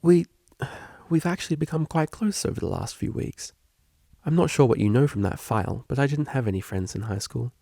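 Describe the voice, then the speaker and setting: A man's voice: mid-range voice, animated, a little fast, vocal fry, dark tone, no room sound, clean studio sound, pitched above the performer's own voice. A man voicing a prim, guarded young female pony student with a formal, hesitant manner in a story reading. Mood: hesitant, confessing.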